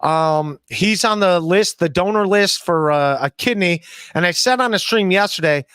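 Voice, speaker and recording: A man's voice: high voice, moderate pace, a bit bright, clear, resonant tone, no echo. A man in his forties hosting a casual podcast from home on a studio mic, reading chat messages and joking about himself. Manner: self-deprecating, reads chat messages aloud. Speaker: podcast host. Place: home room, indoors. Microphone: studio mic with headphones, video call.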